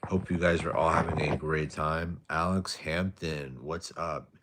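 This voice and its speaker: Very deep, soft-spoken tired man. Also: steady tone, a little slow, background noise. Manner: tired, low-energy, laid-back. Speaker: live stream host. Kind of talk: casual live stream chat reading viewer comments.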